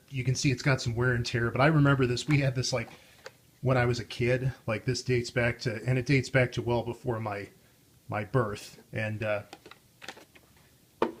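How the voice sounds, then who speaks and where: A man's voice: mid-range voice, a little hiss or hum. American man in rambling, wry casual chat. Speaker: video host.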